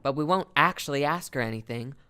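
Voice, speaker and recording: A man's voice: mid-range voice, animated, a little fast, pushed and bright, slight background noise. A man playing a naive, wholesome young detective of twenty with gee-whiz earnestness in a comic radio play. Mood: puzzled, earnest.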